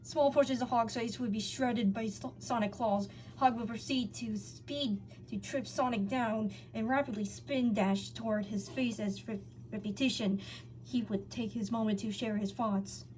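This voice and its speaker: Girl reading fanfic out loud, kinda high voice, trips on words sometimes, noisy homemade recording. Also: fairly even intonation, moderate pace. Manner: stumbles over words and corrects herself. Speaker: story reader. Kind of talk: dramatic reading of fan fiction.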